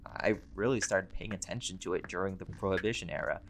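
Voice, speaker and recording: A man's voice: low voice, brisk, a little hiss or hum. A man playing a laid-back, vain, centuries-old vampire in a conversational roleplay audio drama, with casual, sweary, bantering delivery. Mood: reflective, candid.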